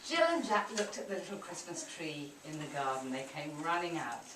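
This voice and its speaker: A woman telling a children's story live at a puppet show, dramatically and deliberately, inviting the audience to join in. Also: low voice, animated. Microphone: distant camcorder microphone. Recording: echoey room from far off, loud noise.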